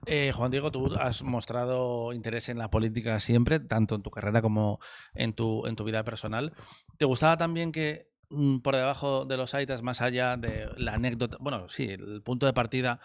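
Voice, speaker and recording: A man's voice: mid-range voice, steady tone, moderate pace, no room sound. A man with a mid-range voice leading a press interview and asking long questions that wander a little. He is heard close and dry. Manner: asks long, winding questions with hesitations. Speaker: film interviewer.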